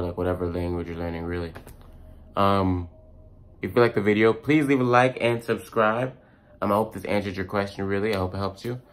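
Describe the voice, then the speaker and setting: A man who is a native English speaker hosts a casual language lesson, talking informally with slang and repeating words to demonstrate their sounds. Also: low-pitched. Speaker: host of a language tips video. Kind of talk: casual vlog-style pronunciation lesson.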